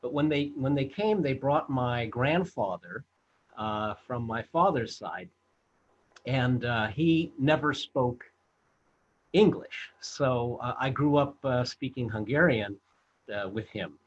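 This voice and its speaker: Director in his 60s being interviewed, slightly raspy, halting storyteller, dull video-call audio. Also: medium pitch. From United States. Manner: halting, many fillers and restarts. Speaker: film director and producer, guest.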